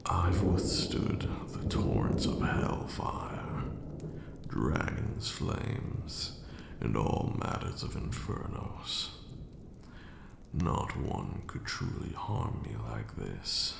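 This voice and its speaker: Ancient vampire lord, haughty mentor for ASMR roleplay: extremely low, slow condescending delivery, echoey room. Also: male voice, sing-song, vocal fry, noisy background, full character voice. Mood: grave, fond. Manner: theatrical, drawn-out, condescending.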